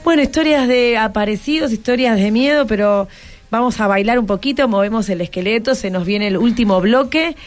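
High-voiced Argentine woman hosting radio. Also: a little fast. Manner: encouraging, asks many questions. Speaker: radio show host. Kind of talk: chatty radio hosting with schoolchildren. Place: radio studio.

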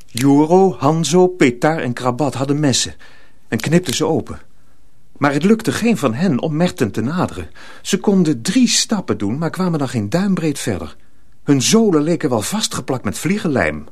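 A man narrating a radio drama in a measured, literary storytelling manner. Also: on the high side, sing-song, a little mellow.

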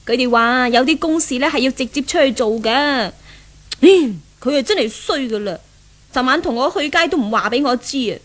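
A spoiled young daughter in a 1970s Cantonese radio drama. Her voice sits high, with a Hong Kong accent. She whines and pouts, impatient and lovesick. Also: lively intonation, a little fast. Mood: sulky. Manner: whining, pouting, coquettish.

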